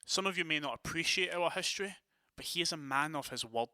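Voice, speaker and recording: A man's voice: fairly high pitch, expressive, fast talker, bright, forceful tone, no echo, clean studio sound, exaggerated voice. A man playing a commanding, decisive vampire prince in an audio drama, with a formal, authoritative manner. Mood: firm, assured.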